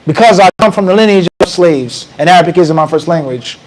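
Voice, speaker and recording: Brief: American man, 30s, lively mosque lecturer, high voice, handheld mic, faint hiss. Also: a little fast, poor audio. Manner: animated, conversational, quotes Arabic passages. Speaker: mufti teaching a class. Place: small mosque room with bookshelves. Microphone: handheld mic close to mouth.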